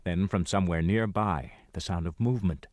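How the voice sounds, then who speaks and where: A man's voice: sits low, fairly quick, darkish tone, no room sound, clean studio sound, lightly acted. A man narrating a radio drama as a grave, dramatic storyteller of a frontier adventure. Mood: suspenseful.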